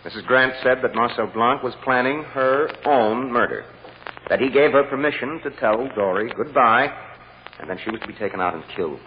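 An actor playing a shrewd, calm defense lawyer with a measured, persuasive delivery in 1940s radio drama style. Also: male voice, medium pitch, close to an everyday voice. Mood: pointed, assured. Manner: measured and deliberate, gently coaxing or drily firm. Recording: muffled, hissy old radio recording with hall echo.

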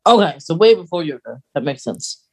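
A man with a voice pitched a little high chats as a podcast co-host. He muses out loud in a casual, tentative way, working through fan lore as he speaks. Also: very animated, a little fast, slightly rough.

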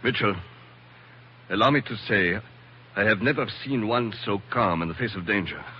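A man's voice: on the low side, animated, moderate pace, lightly acted. Actor as an anxious Frenchman, old radio drama. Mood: relieved, courteous. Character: excitable Frenchman aboard ship, anxious, courteous ally. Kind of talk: 1940s radio acting. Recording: noisy, dull 1940s radio recording.

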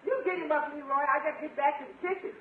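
A woman's voice: sits high, rapid-fire, close to an everyday voice. A woman playing a long-suffering household cook in 1950s radio comedy, dutiful and drily deferential. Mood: brisk. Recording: tinny 1950s radio recording.

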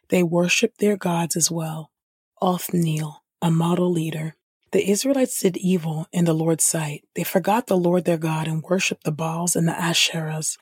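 Husky woman reading scripture, close and dry. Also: lowish voice, a little mellow, dry recording. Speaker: host and reader of a Bible podcast.

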